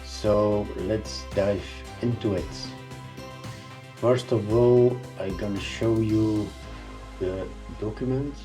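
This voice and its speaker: A middle-aged man whose first language is Dutch, hesitantly walking through a screen-recorded software tutorial. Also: on the low side, slow pace, music in the background. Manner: hesitant, many ums and uhs. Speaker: tutorial presenter.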